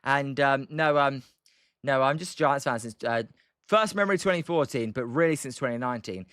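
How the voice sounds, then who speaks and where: A man's voice: mid-range voice, a little fast, a bit bright, clean tone, dry recording, clean studio sound. A young British man hosting a live stream, giving casual game commentary between chat replies, rambling with lots of ums and uhs. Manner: rambling, hesitant, heavy on um and uh.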